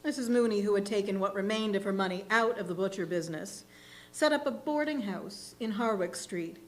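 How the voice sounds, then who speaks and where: A woman reading a literary short story aloud in a deliberate, expressive narrating style. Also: mid-range voice. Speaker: reader in a readers' theatre. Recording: faint background noise and hum.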